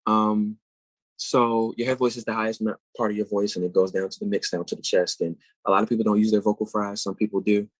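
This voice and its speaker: Low-voiced man explaining singing. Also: steady tone, fast, no room sound, low-quality recording. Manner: thoughtful, explains vocal technique at length. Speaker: guest singer. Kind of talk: interview answers.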